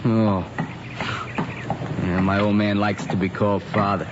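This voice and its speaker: An American man acting a wry, laconic teenage boy in a 1950s radio drama, with a deadpan, clipped delivery. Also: lowish voice, a little slow, natural voice. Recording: muffled old radio recording, noisy background.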